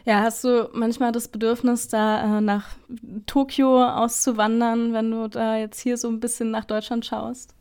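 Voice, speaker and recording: A woman interviewing a musician, asking relaxed questions with frequent hesitations. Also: fairly high pitch, normal speed, clear, resonant tone. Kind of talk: casual interview.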